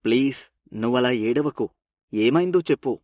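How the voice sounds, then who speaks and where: A man voicing a concerned, protective young husband in an animated family story. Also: mid-range voice, normal speed, natural voice. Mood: concerned, soothing. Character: concerned, protective young husband, easily indignant for his wife.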